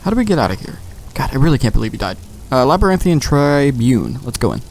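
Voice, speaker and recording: A man's voice: mid-range voice, sing-song, fast talker, creaky, darkish tone, a little hiss or hum. A man giving casual, rambling gameplay commentary, thinking aloud with some mild swearing. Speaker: let's play host. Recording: game music faintly behind. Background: faint game music.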